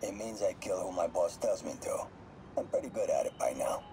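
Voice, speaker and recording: A man's voice: sits low, animated, brisk, dark tone, faint music behind. An actor playing a cold, guarded mob enforcer in a game, talking matter-of-factly as if to his therapist on a recording. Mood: casually matter-of-fact.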